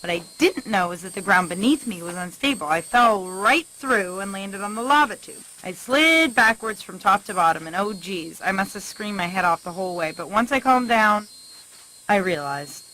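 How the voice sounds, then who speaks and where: Bright upbeat young surfer penguin. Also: female voice, medium pitch, lively intonation, normal speed, poor audio. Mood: breezy storytelling. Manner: breezy, boastful, slangy. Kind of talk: scripted video blog monologue.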